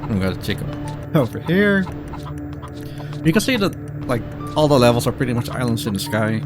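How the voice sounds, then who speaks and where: A man giving casual live commentary as he speedruns a game, halting and repeating phrases as he goes. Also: medium pitch, sing-song. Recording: game music behind the voice.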